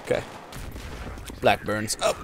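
A young American man giving casual, slangy gameplay commentary, exclaiming and muttering to himself as he plays. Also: slow. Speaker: gamer recording a playthrough. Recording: gunfire and game noise behind voice. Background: video game gunfire and explosions.

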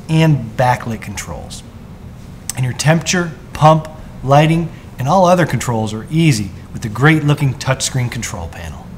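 A man's voice: medium pitch, a little hiss or hum. A man in his forties presenting a product in a polished, upbeat sales manner, speaking from a showroom on a lapel mic. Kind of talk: scripted product walkthrough. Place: spa showroom with large windows.

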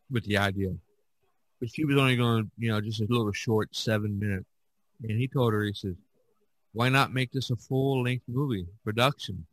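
A man hosting a casual podcast conversation, talking in a halting way with many fillers and restarts. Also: lowish voice, fairly bright tone, dry recording, poor audio. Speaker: podcast host.

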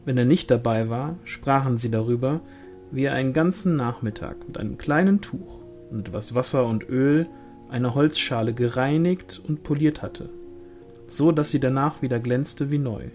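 A man's voice: medium pitch, moderate pace, a little mellow, soft background music. A man reading a fantasy novel aloud as literary audiobook narration. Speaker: audiobook reader.

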